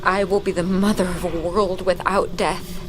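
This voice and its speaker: A woman's voice: on the low side, little pitch movement, normal speed, slight background noise, natural voice. An actress in an audio drama playing a haunted, zealous young woman on a mission, intense and solemn. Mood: quiet grim resolve. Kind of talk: audio drama acting, confessional monologue.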